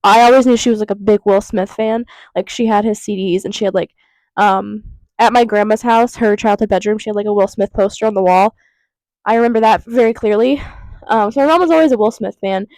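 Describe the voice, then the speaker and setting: A woman's voice: a little high, darkish tone, dry recording, rough recording. Stuffy-nosed young woman rambling casually. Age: 20s. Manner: rambling, lots of fillers and tangents. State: congested with a cold. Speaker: vlogger. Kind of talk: casual chat to camera. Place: vape shop counter.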